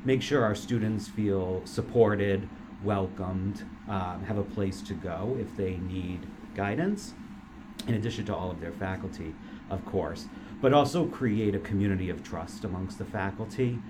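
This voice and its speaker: A middle-aged man, a dean, answering interview questions in an earnest, measured way with filler words. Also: low voice. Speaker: engineering school dean. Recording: noisy room recording.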